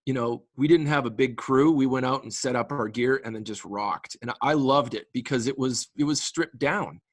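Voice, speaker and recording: A man's voice: medium pitch, normal speed, no echo, clean studio sound. A man in his late forties from the Canadian prairies, chatting as a guest musician in a casual interview, enthusiastic and rambling with frequent restarts. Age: late 40s.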